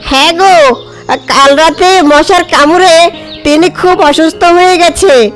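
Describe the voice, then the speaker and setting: Cartoon bird: actress as high, exclaiming crow with urgent news, drawn-out phrasing, light music underneath. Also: female voice. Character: hurried crow bearing alarming news.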